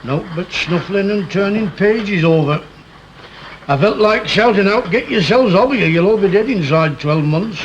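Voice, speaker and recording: An actor playing a nosy, plain-spoken older neighbour with a Northern English accent in a 1960 television drama. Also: male voice, high-pitched, animated, normal speed. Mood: grumbling. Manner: probing, homely, blunt. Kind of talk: 1960s British television drama acting. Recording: muffled noisy 1960 television soundtrack.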